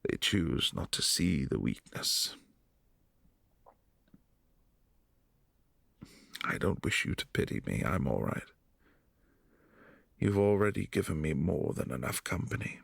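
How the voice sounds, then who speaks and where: A man voicing a brooding, lonely vampire prince in an ASMR roleplay, speaking softly and intimately in halting phrases, close to the mic. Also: a bass voice, creaky, slightly rough, dry recording, full character voice. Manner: soft-spoken, intimate, halting.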